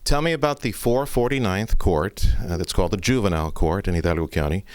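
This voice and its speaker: A man's voice: low voice, brisk, dry recording. A man hosting an interview, introducing the topic and putting questions to a guest. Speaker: radio interviewer.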